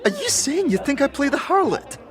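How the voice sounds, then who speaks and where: A woman playing a feisty, defensive young female thief in an audio drama, blunt and quick to fluster. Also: high-pitched, wildly swooping pitch, music in the background. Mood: indignant, flustered.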